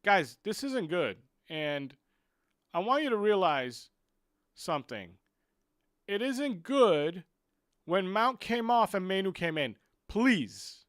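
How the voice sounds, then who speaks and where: A man live-streaming a football watchalong, switching between muttering while he fixes his stream clock and excited, frustrated rants about the players. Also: high-pitched, slow, dry recording, studio quality. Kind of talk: live stream match commentary.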